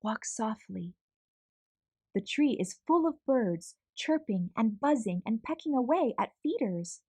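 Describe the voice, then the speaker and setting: A young woman reads a children's picture book aloud with expressive, animated delivery. Her voice sits a little high and moves lively through the lines. The sound is close and dry. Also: measured pace, husky, no room sound. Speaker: storyteller.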